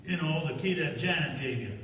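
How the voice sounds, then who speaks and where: Menacing gangster heavy, played by a man, medium pitch, sneering, radio play in a very echoey, distant hall. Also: lively intonation, brisk, fairly bright tone, a little hiss or hum. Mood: threatening. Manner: threatening, sneering. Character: menacing male gangster gunman, impatient tough crook. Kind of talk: old-time radio detective drama acting.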